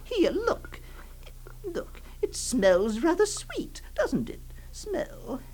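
A woman playing a trembling, high-voiced possessive aunt. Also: wildly swooping pitch, drawn-out words, full character voice. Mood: coaxing. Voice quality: tremulous, hushed and pleading. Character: doting, possessive aunt soothing a girl. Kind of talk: dramatic monologue reading.

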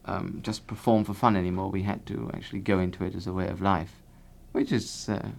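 A man playing a pompous, rambling bass singer. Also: little pitch movement, a little fast, vocal fry. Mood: grandiose. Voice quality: pompous, rambling, fussy correcting. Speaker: half of a singing duo. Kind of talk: improvised comic interview.

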